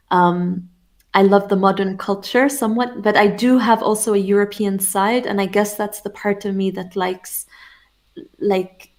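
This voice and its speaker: A woman from England talking as an interview guest, reflective and soft-spoken, with frequent laughs. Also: medium pitch. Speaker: interview guest, shamanic teacher. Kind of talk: casual interview conversation.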